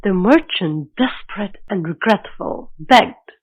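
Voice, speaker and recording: A woman's voice: medium pitch, expressive, takes it slowly, husky. A woman narrating a scripted children's moral story as the storyteller. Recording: narrow, phone-like lo-fi sound.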